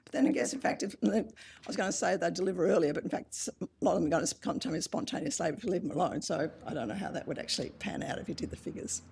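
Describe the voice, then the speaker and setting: A woman chairing a hospital panel discussion, quick and plain-spoken. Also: lowish voice, gravelly, a little mellow. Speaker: panel moderator, obstetrician.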